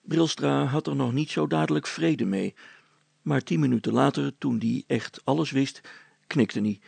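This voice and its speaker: Male narrator for a children's story: expressive delivery, gravelly mid-pitched voice, no room sound. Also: unhurried, no echo.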